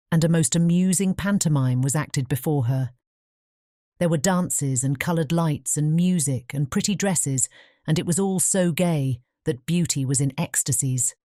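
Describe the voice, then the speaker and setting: A woman narrating a fairy tale audiobook in a measured bedtime storytelling manner. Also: sits low, mellow, no room sound, clean studio sound.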